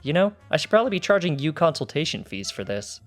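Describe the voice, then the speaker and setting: A man's voice: a little high, sing-song, quick pace, faint music behind, pitched above the performer's own voice. A man voicing a cocky, smug pony thief, boastful and teasing, in a fan fiction story reading. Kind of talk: fan fiction character dialogue.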